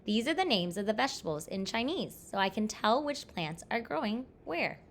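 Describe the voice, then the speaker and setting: Woman reader as patient storybook mother, fairly high voice, assured, matter-of-fact, reassuring, faint noise. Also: very animated, normal speed, fairly bright tone. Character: patient, assured mother explaining her vegetable garden.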